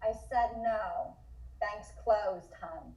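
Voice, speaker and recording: A woman's voice: mid-range voice, very slow, darkish tone. A woman acting a tough, wry, plain-spoken 1920s woman in a comic table read, with a brash manner. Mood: firm, annoyed. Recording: dull, roomy, distant mic.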